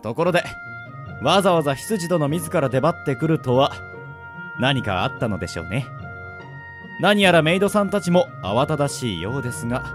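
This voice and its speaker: A man plays a roguish, easygoing sword instructor in a radio drama. He speaks with smooth, laid-back politeness in a lightish, slightly raised pitch. Music runs underneath. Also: big pitch swings, fairly quick. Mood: breezy, teasing. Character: easygoing, roguish male fencing instructor, polite yet teasing. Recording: music behind the voice. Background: background music.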